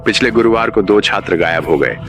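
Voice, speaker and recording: Low-voiced man narrating scripted horror. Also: lively intonation, fairly quick, over music.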